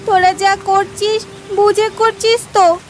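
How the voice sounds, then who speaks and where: A woman's voice: very high voice, level tone, unhurried, a little hiss or hum. A woman voicing a timid, anxious little village girl in a cartoon. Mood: frightened. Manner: anxious, childlike. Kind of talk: cartoon voice acting. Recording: clear music behind the voice.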